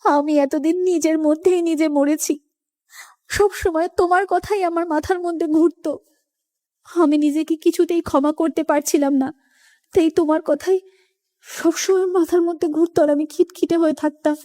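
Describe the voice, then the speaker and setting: An actress playing an emotional, remorseful young daughter in a horror cartoon story. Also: female voice, extremely high pitch, fairly even intonation, normal speed, warm tone, no echo, studio quality. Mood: remorseful pleading. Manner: pleading, emotional.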